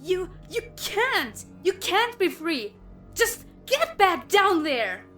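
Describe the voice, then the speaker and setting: Woman playing a high-pitched, taunting villainess. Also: very animated, slow pace, pushed and bright, soft background music, full character voice. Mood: furious. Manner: taunting, theatrical. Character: menacing, mocking female villain, mind-controlling and cruel. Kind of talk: audio drama acting.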